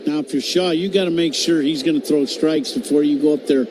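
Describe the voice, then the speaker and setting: Male radio baseball analyst, high-pitched, chatty and opinionated, with clear ballpark noise. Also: fairly quick. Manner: conversational, opinionated. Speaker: color commentator. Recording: noisy stadium ambience. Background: steady ballpark crowd noise.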